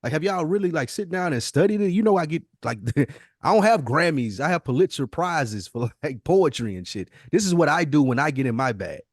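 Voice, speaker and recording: A man's voice: a little high, sing-song, a little fast, dry recording. American guy on a hip hop podcast, emphatic and slangy, strong opinions, really dry sound. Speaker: podcast guest. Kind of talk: casual hip-hop discussion.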